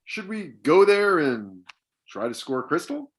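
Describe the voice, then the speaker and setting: Man as animated, sarcastic, exasperated dad. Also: a little high, measured pace, breathy edge, heavily acted. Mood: dry, grumbling. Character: exasperated, sarcastic middle-aged suburban dad. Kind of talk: comedy script table read.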